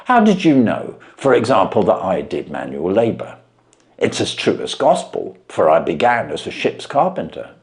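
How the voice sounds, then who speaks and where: Casting: male reader playing naive, blustering tradesman, a storybook pawnbroker who is easily astonished. Big swings in pitch. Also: huge pitch swings, normal speed, heavily acted. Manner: blustering, plain-spoken, naive. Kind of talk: storybook character voice.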